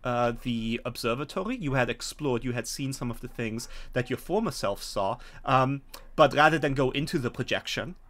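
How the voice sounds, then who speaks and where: A middle-aged man running a tabletop game as game master, narrating haltingly with frequent pauses over a video call. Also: medium pitch, expressive, a bit bright. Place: home room, indoors.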